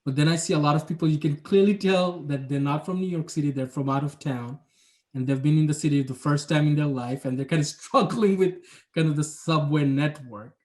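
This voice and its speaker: A man's voice: a little high, fairly quick, darkish tone. A young adult man from Bangladesh, talking about his planning job in a casual interview, reflective and hedging with many fillers. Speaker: urban planner, guest.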